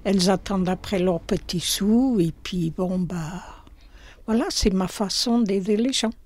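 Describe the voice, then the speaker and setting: An older woman from Portugal with a Portuguese accent, interviewed in her small shop, speaking slowly and hesitantly with many fillers. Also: mid-range voice, darkish tone. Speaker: shopkeeper.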